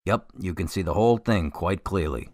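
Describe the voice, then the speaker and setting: An actor playing a casual, matter-of-fact police officer in a radio drama. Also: male voice, sits low, normal speed, no echo, studio quality, natural voice.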